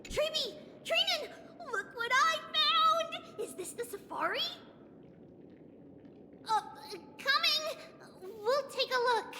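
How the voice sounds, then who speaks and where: An extremely high, slow-spoken little girl, cute and earnest with flashes of excitement. This is anime-style game dialogue, set in long reverb with loud music behind. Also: very noisy, full character voice. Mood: gleeful, excited. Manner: cute childish delivery, speaks of themselves by name.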